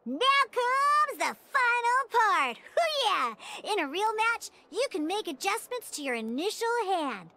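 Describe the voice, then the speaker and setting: A woman voicing a peppy young cartoon mascot in a video game tutorial, chirpy and childlike, eagerly explaining with expressive exclamations. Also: extremely high pitch, a little slow, hardly any pauses, a little hiss or hum, heavily acted. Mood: excited.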